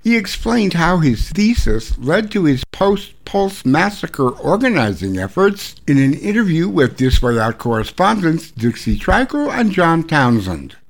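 A man's voice: on the high side, big pitch swings, a little slow, few pauses, poor audio. A man hosting a radio programme with scripted narration. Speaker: radio host.

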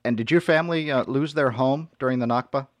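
A man hosting a radio interview program, speaking in a measured way with frequent hesitant fillers. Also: mid-range voice, no room sound, studio quality. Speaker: radio host. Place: radio studio.

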